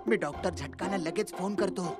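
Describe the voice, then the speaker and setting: A man's voice: high-pitched, moderate pace, over loud music, natural voice. A man voicing an anxious, sensible cartoon sidekick, fretful and placating. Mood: worried. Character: anxious, sensible male sidekick humoring a mock king. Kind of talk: animated cartoon dub acting.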